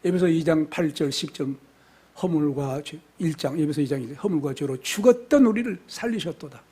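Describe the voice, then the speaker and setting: Male pastor, high-pitched, fervent expository preaching, hushed asides and loud peaks. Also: a little fast, husky. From South Korea. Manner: fervent preaching, swings from soft near-whispers to loud exclamations. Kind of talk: expository sermon. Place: church sanctuary.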